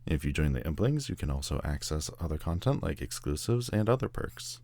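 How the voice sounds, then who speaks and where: Man, very low voice, audio creator, scripted outro, addressing listeners. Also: big pitch swings, a little fast, vocal fry, darkish tone, no room sound.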